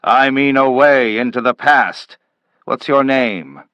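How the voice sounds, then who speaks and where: A man's voice: medium pitch, slow, a bit bright, no echo, lo-fi sound, voice pushed up. A man voicing a brusque, intense head of a time-travel agency, speaking emphatically and impatiently in a story reading.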